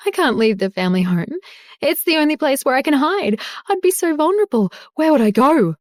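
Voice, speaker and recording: A woman voicing a heartbroken young woman pleading with her father in a high, tearful voice. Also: sing-song, a little fast, dry recording, clean studio sound.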